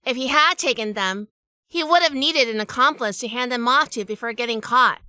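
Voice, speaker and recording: A woman's voice: on the high side, normal speed, sharp tone, no echo. A woman playing a shrewd, sassy, hardboiled heroine narrating a detective audio drama. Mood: suspenseful. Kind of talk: first-person detective drama acting and narration.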